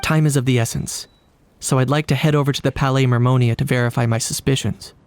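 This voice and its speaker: A man voicing a composed, polite knight investigator in a game, measured and analytical with a dry streak. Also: mid-range voice, fairly even intonation, a little hiss or hum, lightly acted. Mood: serious, analytical. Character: composed, courteous male knight investigator, dryly cheeky. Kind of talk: English game dub acting.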